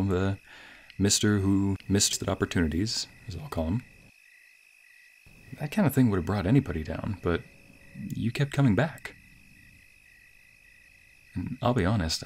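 A man acting a sweet, bashful young waiter on a romantic date in an ASMR roleplay, with a soft, breathy, playful and hesitant delivery. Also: low-pitched, slight background noise. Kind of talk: ASMR roleplay, intimate scripted boyfriend monologue.

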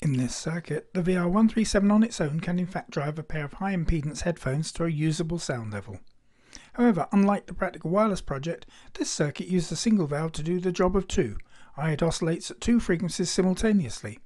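A man narrates a technical hobby demonstration from a script. His voice sits a little higher than the average man's, and he speaks in the manner of an explainer. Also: expressive, a little fast, a little mellow.

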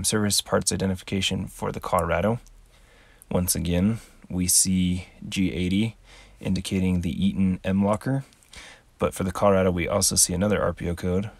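Deep, gravelly man explaining step by step. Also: no echo. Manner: calm, methodical, measured explaining. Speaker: channel host. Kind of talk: explanatory voice-over tutorial.

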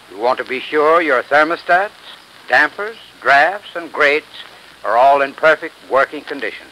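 American male spokesman, 1930s radio commercial, formal, bright, faint noise. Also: medium pitch. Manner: formal, clearly scripted. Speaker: advertising spokesman, heating expert. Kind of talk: 1930s radio sponsor pitch. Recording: noisy 1930s radio recording.

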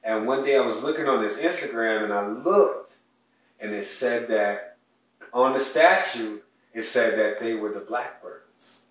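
A man's voice: mid-range voice, measured pace, a bit bright, recorded from a distance. A young man talking earnestly as a podcast co-host, sharing personal stories in a casual chat at home. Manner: earnest, heartfelt, speaks of his soul and ancestors. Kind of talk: casual conversational storytelling. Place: home living room, indoors. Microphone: distant mic on a stand, wide camera. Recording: echoey room audio picked up from afar.

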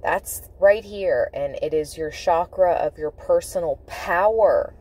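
Lady doing a tarot reading in her car, lowish mellow voice, halting and repeats stuff for emphasis. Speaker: tarot reader. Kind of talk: intuitive tarot reading to camera. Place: inside a parked car.

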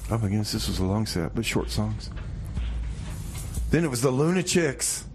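A man's voice: fairly low pitch, big pitch swings, moderate pace. A man hosting a community radio music show with casual, rambling, folksy banter. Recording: clearly noisy background.

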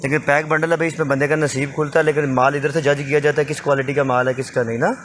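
A man's voice: fairly high pitch, little pitch movement, fast, a little hiss or hum. A young man from Pakistan, running a casual sales vlog in a small indoor clothing shop and repeatedly urging viewers to look at the goods and call. He records himself on a phone held close. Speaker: vlogger selling used clothing bundles.

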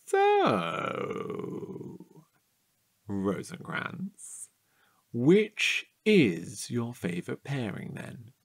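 An actor playing a chatty, childlike, curious man who is a bit slow-witted, in a playful comedic audio drama. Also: mid-range voice, creaky, slightly rough, exaggerated voice. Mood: excited. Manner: playful, excitable, drawn-out delivery.

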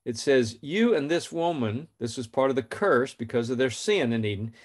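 A man's voice: medium pitch, animated, moderate pace. A man in his fifties hosting a faith podcast, telling a story and reading scripture in an earnest, plain way. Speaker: podcast host.

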